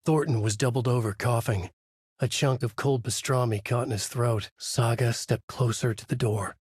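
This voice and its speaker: An actor playing a brooding, haunted male novelist who narrates his dark horror thriller in a measured, grave, husky voice for a video game. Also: medium pitch, steady tone, dry recording, studio quality, close to an everyday voice. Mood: ominous. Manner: measured, grave, dramatic delivery. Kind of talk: scripted noir horror narration.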